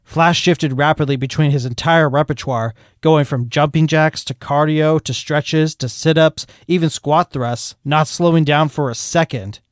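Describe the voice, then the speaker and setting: A man's voice: fairly high pitch, measured pace, few pauses, dry recording, clean studio sound. Man narrating a fan story. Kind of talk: fan fiction reading.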